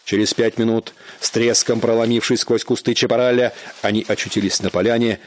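A man narrating a radio play in a measured, dramatic storytelling manner. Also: medium pitch, level tone, slight background noise.

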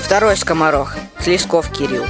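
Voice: medium pitch, moderate pace. An announcer reading out a cast list in a scripted manner. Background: instrumental music.

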